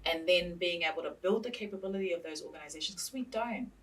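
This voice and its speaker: A woman's voice: on the low side, fast talker, a bit bright, far from the mic, low-quality recording. A woman speaking as a studio guest, emphatic and punchy, full of rhetorical questions and repetition. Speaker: guest, local election candidate. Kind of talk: passionate studio discussion.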